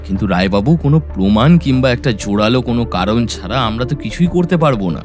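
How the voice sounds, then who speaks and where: An actor playing a composed, resolute detective with pointed questions in an audio drama. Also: male voice, medium pitch, lively intonation, quick pace, over music, close to an everyday voice. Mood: measured. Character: probing, resolute male detective.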